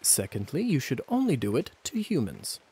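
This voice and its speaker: A man's voice: medium pitch, wildly swooping pitch, normal speed, mellow, breathy edge, exaggerated voice. An actor playing a suave, sly vampire officer who teases as he instructs, in video game style. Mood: sly instructing. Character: suave, sly male vampire hussar, teasing mentor.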